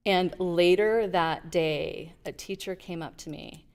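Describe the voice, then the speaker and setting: Middle-aged woman guest, low voice, animated storyteller. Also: takes it slowly, smooth. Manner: animated storyteller, acts out quotes. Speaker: guest, leadership advisor. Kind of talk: casual interview storytelling.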